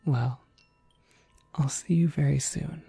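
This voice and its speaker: A woman playing a friendly witch shopkeeper in an ASMR roleplay, gentle, polite and unhurried, in a breathy, husky whisper. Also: low-pitched, steady tone, no echo, soft background music, exaggerated voice. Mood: gently knowing. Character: friendly witch shopkeeper, kindly and softly welcoming.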